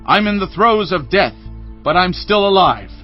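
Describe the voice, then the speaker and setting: A young battlefield messenger in a biblical drama, fairly high in pitch and earnest in his dramatic telling. The recording is a little dull, with music behind him. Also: lively intonation, moderate pace. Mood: urgent, earnest. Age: young adult. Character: young Amalekite messenger reporting a king's death. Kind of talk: dramatized Bible audio acting.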